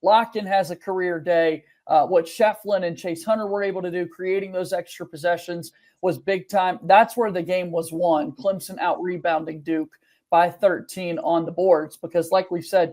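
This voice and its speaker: A man's voice: sits high, a little mellow. A man on a sports podcast, recapping a game in a casual way with frequent fillers. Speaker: podcast co-host.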